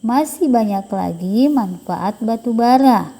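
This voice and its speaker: A woman primary school teacher, explaining a lesson to children slowly and carefully. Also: on the high side, warm tone, low-quality recording. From South Sulawesi, Indonesia. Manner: slow, careful explaining to pupils. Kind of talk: recorded online lesson for children.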